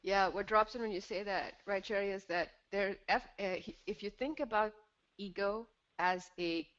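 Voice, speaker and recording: Female call-in host, mid-pitched, reflective and conversational, on slightly muffled audio. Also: normal speed, a bit bright. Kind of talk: unscripted conversational hosting and reflection. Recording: slightly dull, band-limited call audio.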